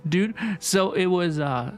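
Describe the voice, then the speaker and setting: A woman giving casual, chatty playthrough commentary as a livestreamer, often repeating phrases for emphasis. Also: fairly low pitch. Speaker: video game livestreamer. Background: soft game music.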